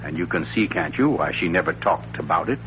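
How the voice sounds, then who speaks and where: An actor playing a suave, wry writer-sleuth with a pompous, deliberate and teasing delivery in a 1940s radio thriller. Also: male voice, deep voice, lively intonation, fairly bright tone. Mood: grave, knowing. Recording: dull, noisy, humming 1940s radio recording.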